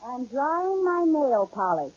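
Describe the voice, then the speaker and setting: A woman playing a flustered, dawdling housewife with quick exasperated retorts in a 1940s radio comedy. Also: high-pitched, big pitch swings, heavy background noise, heavily acted. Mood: flustered, snappy. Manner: exasperated, playfully argumentative. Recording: dull old radio recording.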